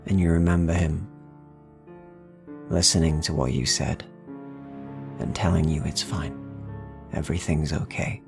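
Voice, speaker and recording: A man narrating dark fantasy as a game master, deliberate and ominous, pausing between short phrases. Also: rumbling low, fairly even intonation, vocal fry, music in the background. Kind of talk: slow dramatic second-person dark fantasy storytelling.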